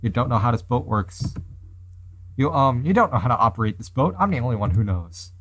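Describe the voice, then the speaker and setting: A man's voice: medium pitch, sing-song, fairly quick, slight background noise, natural voice. A man voicing a wary, nerdy hostage inventor who argues dryly in a visual novel. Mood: defensive. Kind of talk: reading visual novel dialogue and inner thoughts.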